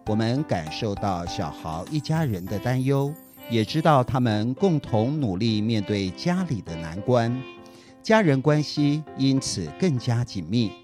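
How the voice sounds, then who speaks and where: Male presenter, lively intonation, addressing children, scripted outro, clear guitar music behind. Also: medium pitch, unhurried. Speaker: programme presenter, school principal. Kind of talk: scripted closing narration to children.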